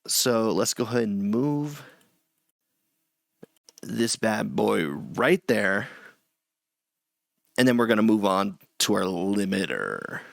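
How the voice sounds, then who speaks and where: Gravelly low-voiced man, chatty tutorial. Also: big pitch swings, slow pace, pauses often, no room sound, clean studio sound. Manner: loose and chatty, with restarts and asides. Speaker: streamer teaching audio setup. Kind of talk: casual screen-share tutorial. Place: small home room at a desk.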